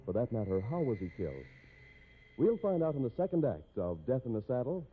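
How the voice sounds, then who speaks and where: A man's voice: medium pitch, wildly swooping pitch, brisk, breathy edge. An American man as announcer and narrator of a 1950s radio mystery, reading scripted copy in a dramatic style. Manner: dramatic, theatrical. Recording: noisy, narrow-band old radio recording.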